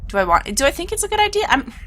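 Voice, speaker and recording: A woman's voice: extremely high pitch, big pitch swings, racing, fairly bright tone. Stuffy-nosed woman musing over a game. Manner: musing, thinking aloud. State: stuffed up, sniffling. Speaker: let's play gamer. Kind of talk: casual gameplay commentary.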